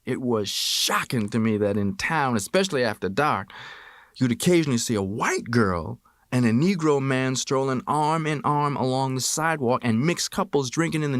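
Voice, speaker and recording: An American man reading a first-person memoir as an audiobook narrator, with expressive, measured storytelling. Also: medium pitch, dry recording, clean studio sound.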